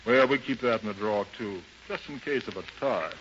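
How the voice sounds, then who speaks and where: A man's voice: fairly low pitch, fairly quick, a bit bright, natural voice. An actor playing a pushy, hard-boiled gambling-house henchman in a 1930s radio drama, with slangy American speech. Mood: menacing. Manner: slangy, tough-talking. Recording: dull, noisy 1930s radio recording.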